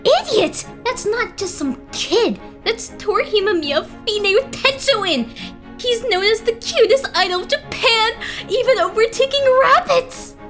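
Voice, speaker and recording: A woman acting an excitable, cheeky teenage boy idol in an anime-style dub, dramatic and exclamatory. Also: extremely high pitch, a little slow, soft background music, exaggerated voice. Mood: scandalized, gushing.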